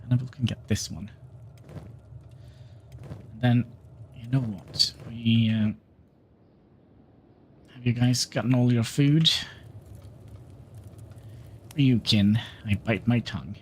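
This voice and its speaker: Slow-talking man streaming a game. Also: mid-range voice, fairly even intonation, a little hiss or hum. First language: Norwegian. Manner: unhurried, reads game dialogue aloud, chats with viewers. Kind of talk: livestream gameplay commentary.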